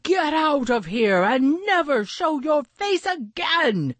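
A bold male mallard hero from a storybook, played by a woman. He speaks with stern indignation, his voice raised high and delivered in deliberate, emphatic swings. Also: very animated, no room sound, heavily acted.

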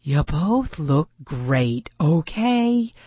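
Woman doing a big male bird, teasing, impatient, animated intonation, close dry storybook recording. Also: medium pitch, drawn-out words, dry recording, heavily acted. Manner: exasperated, wry.

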